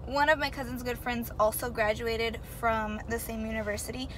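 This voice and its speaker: A woman's voice: flat delivery, normal speed. A young American woman in her twenties chatting casually as a vlogger, recorded on a dashboard camera while driving. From Tucson, Arizona, USA. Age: 20s. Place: inside a car while driving. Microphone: camera mounted on dashboard. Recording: noisy car interior with road rumble. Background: loud road and car noise.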